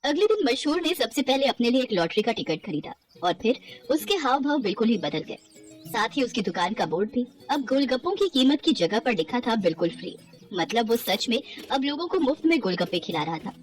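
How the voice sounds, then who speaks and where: A woman giving expressive scripted narration for a cartoon moral story. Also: a little high, brisk, faint music behind, low-quality recording.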